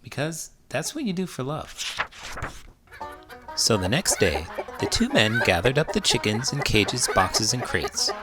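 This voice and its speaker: Man reading a storybook, wildly animated. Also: measured pace, over loud music. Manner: expressive, with wide swings in pitch and volume. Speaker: children's book reader and teacher. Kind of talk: animated storybook read-aloud.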